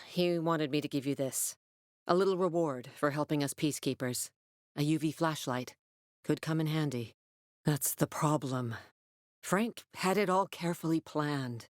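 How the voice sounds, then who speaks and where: Tough female military officer, low voice, blunt, commanding, no-nonsense, video game dialogue, close dry sound. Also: no room sound. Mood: somber, matter-of-fact.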